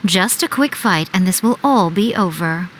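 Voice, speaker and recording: A woman's voice: fairly low pitch, lively intonation, moderate pace, faint music behind, close to an everyday voice, rough recording. A woman voicing a sly fox-spirit shrine priestess who questions coolly and mocks with cunning. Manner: cool, probing, mocking.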